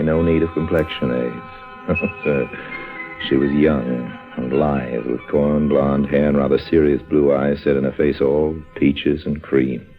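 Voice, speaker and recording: An actor playing a suave, sardonic con man who narrates with wry, urbane deliberation in old radio drama style. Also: rumbling low. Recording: muffled old radio recording. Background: music.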